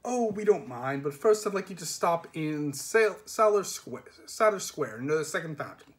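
A man voicing a cheerful, courteous gang leader with quiet menace, in a storybook reading. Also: a little high, expressive, moderate pace, close to an everyday voice. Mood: bright, cheerful menace. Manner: cheery, falsely solicitous, courteous. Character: brightly polite, menacing male knife-wielding gang leader.

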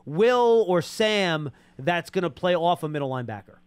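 A man's voice: sits high, expressive, normal speed, clear, resonant tone, no echo. An American man, around his forties, hosting a sports talk interview with probing questions, close on a studio microphone. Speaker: sports show host. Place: small indoor studio. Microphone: close broadcast boom microphone.

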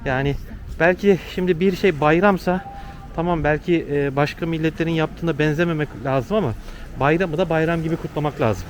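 A man's voice: on the high side, fairly quick. A man chatting casually and explaining things to a companion as a travel vlogger. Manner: explanatory, conversational. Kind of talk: casual on-location chat with companion. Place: outdoors on city streets. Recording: noisy outdoor city street, traffic.

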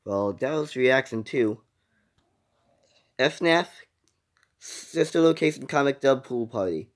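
Young man with very lively, swinging pitch. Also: slow. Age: teenager or young adult. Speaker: reaction video host. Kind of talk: casual reaction commentary. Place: small room at home.

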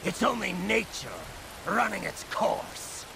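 An actor playing a grim, fatalistic armored cyborg boss in a video game, speaking slowly and solemnly. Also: male voice, high-pitched, gravelly, background noise, heavily acted. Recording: game audio with rain and thunder.

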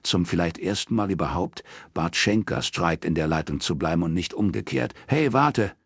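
A man's voice: sits low, normal speed, vocal fry, slightly rough, dry recording, clean studio sound. A man reading scripted crime fiction as an audiobook narrator.

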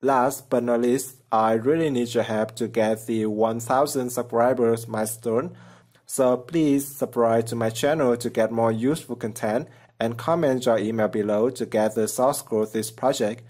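A man's voice: mid-range voice, steady tone, normal speed, darkish tone. Man narrating a coding tutorial plainly. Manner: plain step-by-step explaining, simple non-native phrasing. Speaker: programming tutorial channel host. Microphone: voice-over over screen recording.

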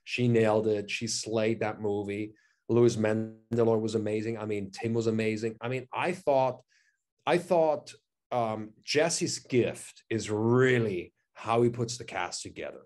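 Enthusiastic actor on a video call. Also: male voice, lowish voice, a little slow, a little mellow. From Switzerland. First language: German. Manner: enthusiastic, repeats words, many "you know" and "I mean". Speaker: actor, interview guest. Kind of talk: casual interview chat. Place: living room at home, indoors. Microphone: video call, webcam.

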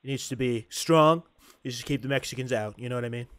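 A man's voice: medium pitch, fast talker, fairly bright tone, dry recording, lightly acted. Man impersonating a brash older American politician, repetitive, emphatic, prank-call comedy, close dry recording. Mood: brash, insistent. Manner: repetitive, emphatic salesman-like phrasing. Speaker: prank caller. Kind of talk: improvised prank phone call.

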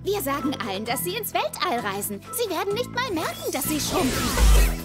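A woman playing a cheerful, adventurous young girl heroine in an animated series dub, confident and upbeat. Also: extremely high pitch, moderate pace, loud music behind the voice. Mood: eager, planning.

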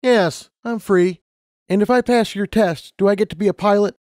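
A man's voice: high-pitched, moderate pace, a little mellow, no echo, clean studio sound, voice pushed up, lightly acted. A man voicing an earnest, anxious young female unicorn who wants to be a pilot, speaking insistently in a narrated story. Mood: eager. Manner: insistent, pleading.

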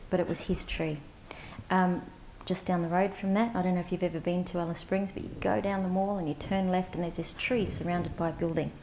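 Soft-spoken middle-aged woman from central australia, kinda low voice, slight hiss, chatting to a big conference crowd. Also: flat delivery, poor audio. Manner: warm, reflective, halting with fillers and restarts. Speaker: panelist, CEO of an advocacy organisation. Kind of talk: storytelling conference talk. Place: conference stage before a large audience, indoors.

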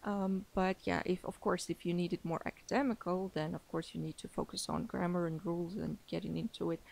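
Young woman, native Armenian speaker, casual chat, frequent laughs, creaky fry. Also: lowish voice, steady tone, fairly quick, a little mellow. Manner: chatty, laughs often, many fillers and restarts. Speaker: podcast guest, English teacher.

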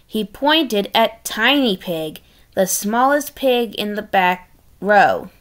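A woman's voice: mid-range voice, very animated, slow, fairly bright tone. A woman reading a storybook aloud expressively, with wry, sardonic asides. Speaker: storybook reader.

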